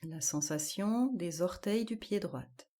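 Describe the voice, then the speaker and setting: A woman guiding a yoga nidra relaxation, giving calm, measured instructions in short, spaced-out phrases. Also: fairly low pitch. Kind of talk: guided relaxation meditation.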